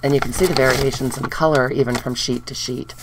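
Chatty woman with a gravelly voice. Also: extremely low pitch, steady tone, fairly quick, noisy background. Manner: chatty, upbeat, talks to viewers while handling papers. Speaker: craft tutorial host. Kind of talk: casual craft demonstration. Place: indoors at a wooden craft table.

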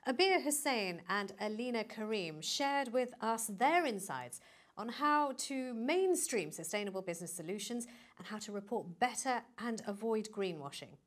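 A woman's voice: on the high side, expressive, moderate pace. Polished woman studio host. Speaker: event host. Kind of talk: scripted presenting. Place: studio set.

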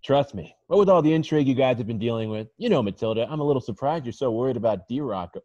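A man's voice: mid-range voice, expressive, fast, mellow, natural voice. A man playing a laid-back, nosy, dim young male model with slangy speech in a comedy table read. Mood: laid-back, probing. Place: at home on a video call. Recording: muffled video call audio.

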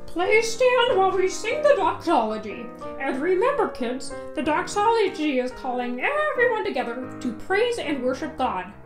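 A woman's voice: extremely high pitch, sing-song, normal speed. A woman playing a gushing, cheerful mother duck who volunteers at Sunday school in a children's puppet show. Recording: roomy sound with music behind.

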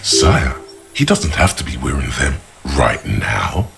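Very deep, grave game narrator. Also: male voice, wildly swooping pitch, creaky, fairly bright tone, slight background noise, heavily acted. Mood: urging. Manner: measured, deliberate, literary. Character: grave, observant inner voice narrating a detective's thoughts. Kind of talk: dramatic second-person game narration. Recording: music under the voice. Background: background music.

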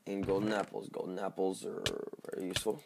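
Man, gaming video host, lowish pitch, slightly hoarse morning voice, informal halting commentary, faint background noise with clicks. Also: little pitch movement. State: slightly hoarse, just woke up. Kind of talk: casual gameplay commentary.

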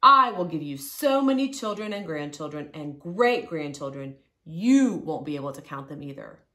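A woman voicing God in a storybook as a tender, assured promiser, speaking with solemn, deliberate emphasis. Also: sits low, expressive, sharp tone. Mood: delighted.

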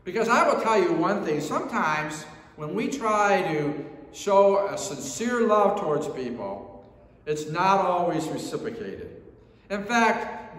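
A man's voice: high-pitched, a bit bright. A middle-aged man with an American accent preaching a sermon as a pastor, earnest and emphatic, repeating phrases for stress. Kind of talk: sermon with scripture reading. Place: church sanctuary, standing at a lectern. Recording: very echoey church hall.

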